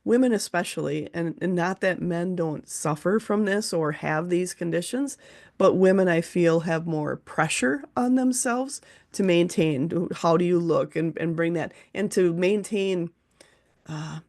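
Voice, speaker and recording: A woman with a low-pitched voice hosts a relaxed interview. She speaks earnestly and in long, winding sentences, often passing on messages from her spirit guides. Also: measured pace. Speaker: host, teacher and medium. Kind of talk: casual interview chat.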